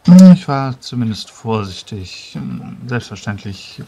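A man playing a polite, self-assured young scholarly mage in a tabletop game, speaking formally and deliberately with hesitations. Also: lowish voice, sing-song, warm tone. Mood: cautious assurance. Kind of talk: tabletop roleplay in character.

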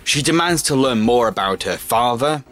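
A man's voice: mid-range voice, lively intonation, normal speed, a bit bright. A young man narrating a scripted review in an enthusiastic, emphatic manner. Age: young adult. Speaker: film reviewer, video host. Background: background music.

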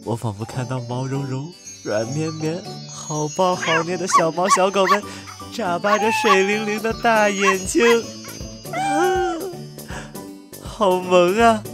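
An excitable man played by a male actor in an audio drama, besotted with all things cute. He stammers and gushes, his pitch leaping about in a lively way, with music playing behind him. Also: takes it slowly. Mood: gushing. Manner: stammering, gushing.

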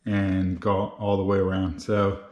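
A man's voice: sits low, completely flat delivery, unhurried, darkish tone, dull audio. Man vlogging in an echoey garage. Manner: informal, explains step by step. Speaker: motorcycle builder and video host. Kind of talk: casual how-to vlog narration while working. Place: home garage with hard floor. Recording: roomy, echoing garage sound.

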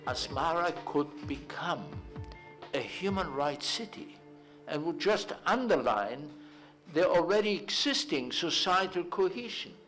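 An older man speaking in a measured, hesitant way at a panel discussion in an indoor hall, into a table microphone. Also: fairly high pitch, expressive, a bit bright, faint music behind.

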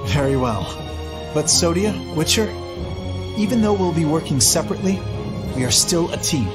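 A man acts a young knight commander with a somewhat high male voice. He is formal and earnest, in game dialogue over clear music. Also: lively intonation, moderate pace, close to an everyday voice. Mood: earnest, resolute. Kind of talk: video game voice acting.

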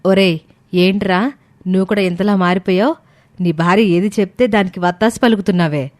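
A woman's voice: medium pitch, fairly even intonation, fairly quick, darkish tone, lightly acted. A woman acts an aggrieved grandmother in a storybook drama. Her mid-range voice is scolding and plaintive, that of a mother who feels let down by her family.

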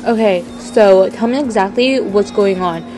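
A woman's voice: mid-range voice, a little slow, music in the background, natural voice, low-quality recording. A woman voicing a capable, assertive grown daughter who works as a doctor, in an amateur roleplay drama. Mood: brisk, helpful. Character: capable, busy grown daughter, a doctor, hurt but forgiving.

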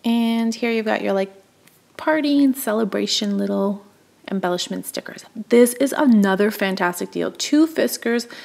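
A woman's voice: mid-range voice, moderate pace, vocal fry, darkish tone. A woman giving a casual, chatty and enthusiastic running narration as a shopping vlogger. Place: indoors, in a store aisle.

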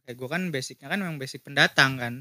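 A man's voice: mid-range voice, fast, bright, forceful tone, no echo, clean studio sound. A young man, a stand-up comedian guest, joking and laughing often in a casual podcast chat on a desk mic. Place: small decorated cafe studio, indoors.

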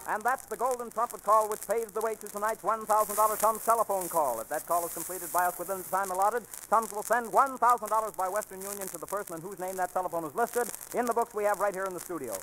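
High, rapid American radio game show announcer. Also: male voice, bright, forceful tone, faint music behind. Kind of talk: 1940s live radio announcing. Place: radio studio or stage. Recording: noisy vintage 1940s radio recording.

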